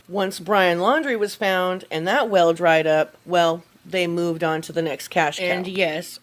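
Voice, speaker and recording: An American woman narrating opinion commentary as a video creator. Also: on the low side.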